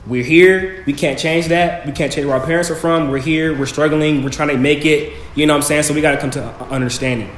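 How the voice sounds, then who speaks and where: A man's voice: fairly high pitch, steady tone, quick pace, few pauses. A young man on a student panel, talking earnestly and casually, often checking in with "you know what I'm saying". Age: young adult. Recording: echoey room, faint background noise.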